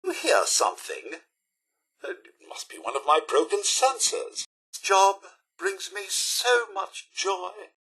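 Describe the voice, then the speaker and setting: A prim British robot butler from a video game, high-pitched and wildly swooping in melody. The voice is bright and forceful, and it carries itself with pompous politeness and a sardonic streak. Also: male voice, big pitch swings, slow pace, slightly rough, exaggerated voice. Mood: dutiful, musing. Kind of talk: theatrical video game character lines.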